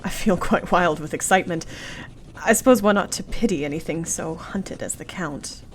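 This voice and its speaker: An actress playing an earnest, tender young Victorian wife in a radio play, with formal period diction. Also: female voice, fairly low pitch, moderate pace, slight background noise, lightly acted. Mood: fervent.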